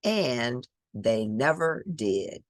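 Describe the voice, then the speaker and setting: A woman's voice: very deep voice, sing-song, very slow, no room sound, clean studio sound. A woman reading a picture book aloud to children, expressively, with dramatic emphasis and chatty asides. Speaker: children's storybook reader.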